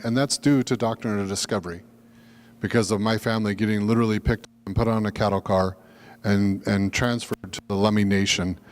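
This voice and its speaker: A man's voice: fairly low pitch, darkish tone, in a large hall. A Lakota man presenting at a workshop, giving an earnest, welcoming land acknowledgment and introduction. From Lakota, United States.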